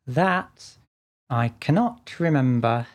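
Storybook role: a woman as a humble, nervous man, low-pitched against her own voice, slow and trembling, pleading his case. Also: animated, darkish tone, exaggerated voice. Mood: wretched, pleading.

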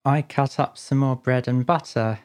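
A woman's voice: extremely low pitch, a little mellow, voice pushed down. A woman voicing a nervous, wretched poor man on trial in a storybook, with a trembling, hesitant delivery. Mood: wretched, pleading. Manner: slow, hesitant, humble.

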